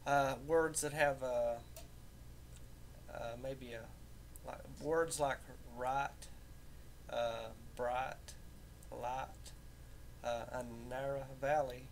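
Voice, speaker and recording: A man speaks casually with a softened Southern Appalachian accent at a middling pitch. His delivery is folksy and halting, as in an unscripted personal talk. A faint rumbling noise sits behind the voice in what sounds like a home recording. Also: steady tone. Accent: Southern Appalachian American, somewhat softened. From rural Southern United States, southern Appalachia.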